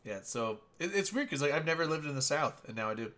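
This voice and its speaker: Guy on a gaming podcast, bright forceful voice, rambles and cusses, trails off a lot. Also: fairly high pitch, animated, quick pace. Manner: rambling, swears casually, trails off. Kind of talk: casual gaming chat.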